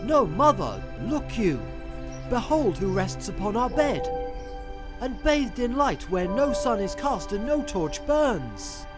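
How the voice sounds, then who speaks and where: A man's voice: very high-pitched, sing-song, slow pace, exaggerated voice. A man playing an earnest medieval king, a loving husband, with formal theatrical delivery in an audio drama. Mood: awestruck, remorseful. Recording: music under the voice.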